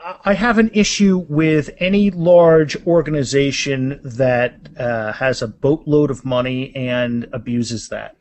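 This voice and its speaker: A man speaking as an author guest in a casual interview, halting and restarting phrases, with self-deprecating humor. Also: medium pitch, darkish tone.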